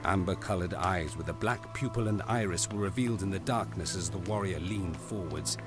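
Male narrator for audio drama, low register, grave and deliberate, music running clearly underneath.

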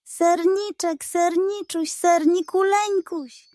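A greedy little storybook pug, played by a woman, schemes in a high, sugary coo. He wheedles and flatters theatrically, drawing his words out in a close, dry recording. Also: slow pace, no echo. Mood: gleeful scheming. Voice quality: cooing, wheedling. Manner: dramatic, coaxing delivery with diminutives. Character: sly, greedy little male pug, sweet-talking schemer.

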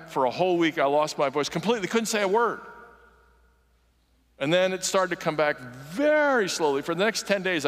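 Man in his sixties, earnest conversational preacher, fairly high voice, big reverberant sanctuary. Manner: earnest, conversational preaching with animated gestures, walking the stage. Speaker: guest preacher. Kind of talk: sermon. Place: large church sanctuary. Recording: long reverb of a large church hall.

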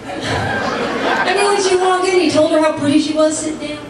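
A woman's voice: high-pitched, steady tone, normal speed. A woman in her forties doing a live stand-up comedy routine as a playful storyteller, recorded from a distance on a club stage. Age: 40s. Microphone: handheld mic, captured by far camera. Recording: distant, echoey club recording with audience noise. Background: audience crowd noise and laughter.

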